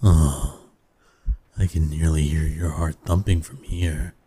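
Deep, breathy incubus seducer, acted by a man. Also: steady tone, dark tone, heavily acted. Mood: soothing, hypnotic. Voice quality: low, breathy, husky.

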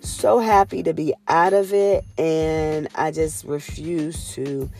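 A woman hosting her own podcast in a candid, casual monologue, her voice raspy and hoarse from a cold. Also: low voice, steady tone, slow pace, darkish tone, soft background music. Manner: candid, earnest, swears casually. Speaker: podcast host.

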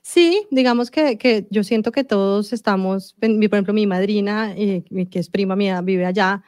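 A woman's voice: mid-range voice, moderate pace, a little mellow, dry recording, studio quality. A middle-aged woman from Colombia with a Colombian accent, chatting as an interview guest with many fillers and restarts. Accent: Colombian Spanish. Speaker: singer-songwriter guest. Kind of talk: casual interview conversation.

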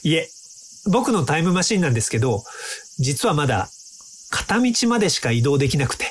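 An actor in an audio drama playing an earnest, polite young time-traveling inventor who explains things courteously. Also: male voice, fairly high pitch, big pitch swings, fairly quick, a little hiss or hum. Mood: gentle, candid.

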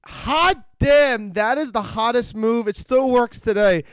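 Male comedy podcaster, very high voice, crude over-the-top enthusiasm, close dry sound. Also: a little slow, fairly bright tone, clear, resonant tone, no room sound. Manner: exuberant, crude, mock-enthusiastic. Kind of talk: casual movie banter.

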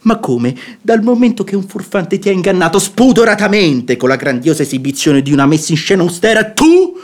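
Radio drama, sensible counsellor figure, theatrical lecturing, highish voice, very animated intonation. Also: male voice, fairly quick. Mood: exasperated, lecturing. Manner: emphatic, lecturing. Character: sensible, reproving relative counselling moderation.

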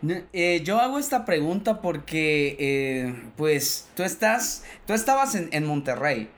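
Man, radio host, somewhat high voice, friendly, halting, lots of fillers. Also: big pitch swings. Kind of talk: radio interview.

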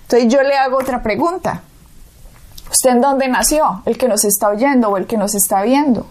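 A Colombian woman with a high, bright-pitched voice leads a Bible teaching conversation. She preaches emphatically, pressing her point with rhetorical questions aimed at the listener. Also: normal speed. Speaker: co-host. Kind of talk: Bible teaching talk show.

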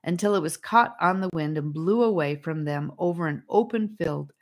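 Female audiobook narrator, low register, scripted storytelling for a children's fantasy. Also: moderate pace.

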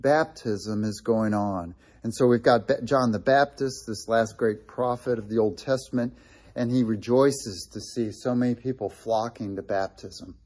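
A man's voice: medium pitch, unhurried, a little mellow. A middle-aged man preaching a sermon in a conversational way, with frequent restarts and asides to the congregation. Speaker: pastor.

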